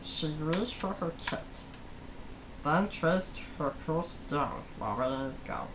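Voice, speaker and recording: A person reading a story aloud for children as host, with a deliberate, halting delivery full of pauses. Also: medium pitch, expressive, background noise.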